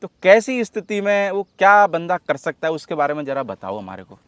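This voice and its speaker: A man's voice: a little high, sing-song, fairly quick, fairly bright tone, clean tone, dry recording. A man interviewing in a casual, colloquial way, making his points emphatically and at some length. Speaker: interviewer, host.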